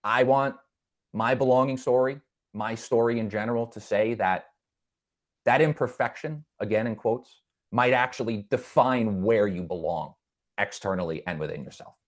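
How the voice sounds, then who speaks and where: A man's voice: mid-range voice, moderate pace. A man guest in an interview, speaking earnestly and reflectively, with a stutter that brings repeated words and restarts. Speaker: podcast guest. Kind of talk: conversational interview.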